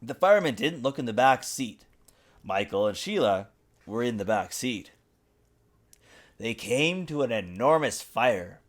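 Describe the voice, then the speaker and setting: Animated man reading a children's storybook. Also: mid-range voice, unhurried, long pauses. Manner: expressive, dramatic emphasis. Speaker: father reading to his young daughter. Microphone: USB desktop mic.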